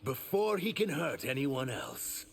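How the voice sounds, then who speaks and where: A man's voice: medium pitch, very animated, measured pace, creaky, slight background noise. A man voicing an earnest young shapeshifting reptilian warrior in human form for a video game. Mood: earnest, guarded. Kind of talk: video game character dialogue.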